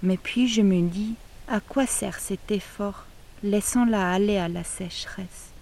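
Podcast host, woman, unhurried lyrical reader with a warm, dark voice. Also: medium pitch, gravelly. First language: German. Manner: slow, intimate, lyrical delivery. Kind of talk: reading a poetic letter.

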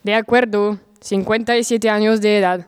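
A teenage woman playing a vain, excitable older knight in a student audio drama, with a pompous, self-admiring manner. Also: medium pitch, moderate pace, clear, resonant tone, no room sound, studio quality, lightly acted. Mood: insistent.

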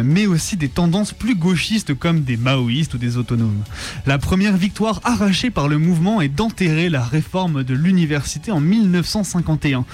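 A man's voice: fairly high pitch, a little mellow. A man reading a scripted documentary narration as a radio presenter. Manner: reading from a prepared text. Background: music.

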